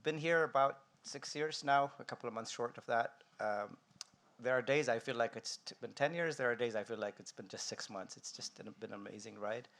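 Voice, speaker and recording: A man from Ethiopia introducing himself on a panel, relaxed and joking, with frequent ums. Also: medium pitch, a little fast, creaky. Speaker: solution architect on a panel.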